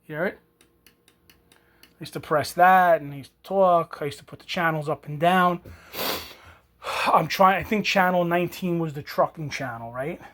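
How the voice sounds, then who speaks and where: A man's voice: on the high side, measured pace, long pauses, fairly bright tone. A man, casually showing an old item and rambling with many restarts. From New York. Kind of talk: casual show-and-tell.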